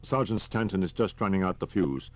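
A man's voice: low-pitched, expressive, fairly quick, no room sound. A man voicing a serious, cautious male hero in an audiobook, speaking directly and with concern. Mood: businesslike.

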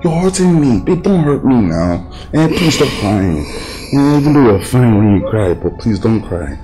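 A wheedling, domineering Nigerian husband in a screen melodrama. His pitch swings widely as he repeats himself insistently, with loud music playing behind the dialogue. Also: sing-song, a little slow, low-quality recording. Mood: coaxing. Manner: repeats questions and phrases insistently. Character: philandering husband pleading with and scolding women, wheedling, domineering. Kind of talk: melodramatic screen drama acting.

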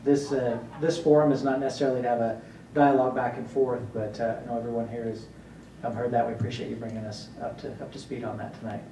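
A man chairing a council meeting in formal procedural language, speaking haltingly with many pauses. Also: flat delivery, dark tone. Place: large indoor council chamber. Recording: roomy, distant council chamber pickup, faint rumble.

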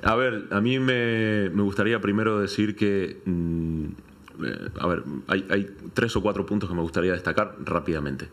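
A man from Argentina giving his opinion on a discussion panel, setting out points deliberately with pauses to find words. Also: low voice, fairly bright tone. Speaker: panelist, businessman. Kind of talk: conversational opinion in a round-table debate.

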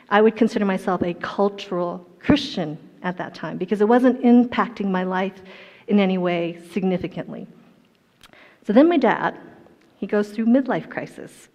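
A woman's voice: medium pitch, darkish tone. A middle-aged woman from Colorado giving a personal testimony talk on stage, with a storytelling manner and self-deprecating humor. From Colorado, USA. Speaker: guest speaker. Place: large indoor hall stage. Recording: dull, echoey hall sound.

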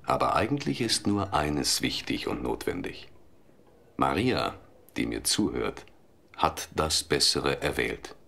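Biblical teacher for audio drama, extremely low, calm, deliberate, slow delivery. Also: male voice, vocal fry, slight background noise, heavily acted. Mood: gentle, calm. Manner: solemn, deliberate. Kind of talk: German religious audio drama.

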